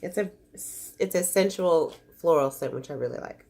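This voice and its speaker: A woman's voice: sits low, moderate pace, vocal fry, darkish tone. Congested woman chatting through an unboxing. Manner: chatty, gushing, easily disappointed. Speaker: beauty vlogger. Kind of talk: casual unboxing chat.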